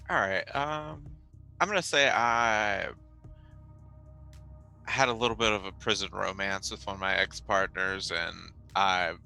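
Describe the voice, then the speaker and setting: A man's voice: fairly low pitch, unhurried, creaky, bright, forceful tone, clean tone, faint music behind. A man playing in a tabletop game, chatting casually and joking as he builds his character. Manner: jokey, rambling, laughs often. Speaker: player.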